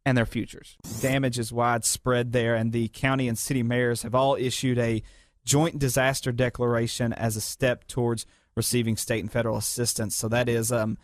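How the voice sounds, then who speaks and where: A man's voice: medium pitch, level tone, moderate pace, no echo. A man with an American accent talking on air as a radio host in a polished, conversational way.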